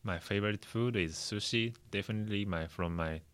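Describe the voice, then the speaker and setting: A young man from Japan with a Japanese accent, hosting a casual chat in halting, simply phrased non-native English. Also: fairly low pitch, a bit bright, clear, resonant tone. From Chiba, Japan. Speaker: podcast host. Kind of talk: casual free talk.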